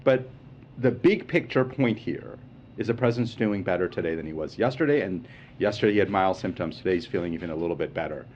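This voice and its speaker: A lower-pitched man fielding questions at a press briefing, patiently explaining and then lifting his voice with upbeat reassurance. The audio is slightly muffled, with a faint hiss underneath. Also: quick pace. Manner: reassuring, explanatory, animated emphasis. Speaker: medical official at briefing.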